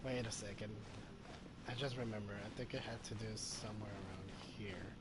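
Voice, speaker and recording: A man's voice: on the low side, steady tone, a little fast, very noisy. A man giving casual live commentary on a game as a streamer, hesitantly thinking aloud.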